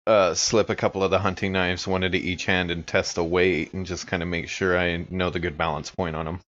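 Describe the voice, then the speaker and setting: A man's voice: low voice, level tone, fairly quick, a bit bright, muffled recording. A man improvising casually as a player in a tabletop role-playing game. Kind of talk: casual in-character improvised play.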